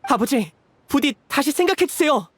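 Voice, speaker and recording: Loyal young brother in game drama: high male voice, polite, earnest, impassioned. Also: expressive, moderate pace, fairly bright tone, dry recording. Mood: earnest pleading. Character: earnest, brave young man pleading as loyal older brother.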